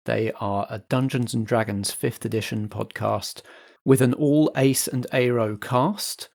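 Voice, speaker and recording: A man acts as compere for a podcast, delivering scripted announcements. His voice is fairly low, and his style is theatrical. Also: creaky, a little mellow. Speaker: host, announcer.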